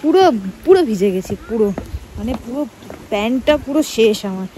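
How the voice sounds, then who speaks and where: A woman's voice: a little high, expressive, brisk. A woman vlogging on a rainy forest trail, chatting to the camera and joking about being soaked. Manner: chatty, self-mocking, talks to camera. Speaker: travel vlogger. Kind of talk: casual travel vlog chat. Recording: outdoor phone audio with loud background noise.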